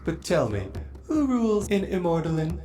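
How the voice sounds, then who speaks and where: A woman's voice: on the low side, very animated, normal speed, noisy background, voice pushed up. A performer voicing a cunning, imperious vampire queen in a haughty, theatrical story reading.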